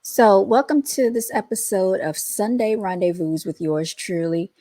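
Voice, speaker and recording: A woman's voice: mid-range voice, dark tone, no echo. A woman hosting her own channel and chatting casually to her viewers, her voice scratchy because she is coming down with something. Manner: chatty, drawn-out, talks straight to viewers. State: scratchy, under the weather. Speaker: host and founder of the channel.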